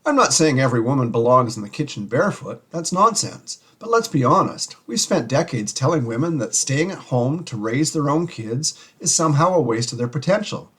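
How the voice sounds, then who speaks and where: A man with a husky voice delivering scripted radio-style commentary as a show host. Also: mid-range voice, a bit bright.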